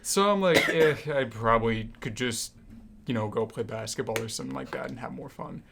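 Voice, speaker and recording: Young man bantering casually, mid-pitched voice. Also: brisk, vocal fry, background noise. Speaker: podcast co-host.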